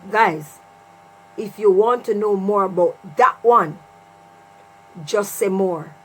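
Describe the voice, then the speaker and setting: A woman's voice: medium pitch, slow pace, a bit bright, poor audio. A middle-aged woman with a Jamaican accent tells a personal story casually to viewers, repeating phrases for emphasis. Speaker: vlogger telling a personal story. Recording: clear mains hum and noise.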